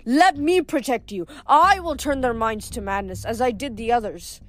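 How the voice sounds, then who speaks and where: A woman voicing a malicious ancient male ghost king who talks in a slow, sneering, menacing way. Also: a little high, a bit bright, close to an everyday voice.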